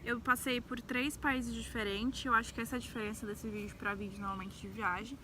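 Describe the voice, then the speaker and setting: A young woman with a central-west Brazilian accent speaks in a fairly high, bright voice. She chats informally like a vlogger sharing her own story, dropping in small tags for agreement. There is faint noise behind her. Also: steady tone, a little fast. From Brazil, grew up in Brasília. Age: young adult. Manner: informal, peppers speech with tags seeking agreement. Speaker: travel vlogger. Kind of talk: casual, chatty personal storytelling.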